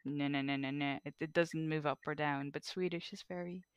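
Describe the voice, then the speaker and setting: A woman's voice: low-pitched, steady tone, brisk, smooth, dry recording, lo-fi sound. A woman whose first language is Dutch, co-hosting a podcast in a chatty, laughing way. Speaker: podcast co-host. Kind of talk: casual podcast chat.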